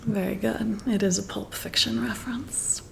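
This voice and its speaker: A woman playing a friendly, competitive female boss working late, in a soft breathy whisper, hushed and gently teasing in an ASMR roleplay. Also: medium pitch, steady tone, moderate pace, slight background noise. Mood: playful, encouraging.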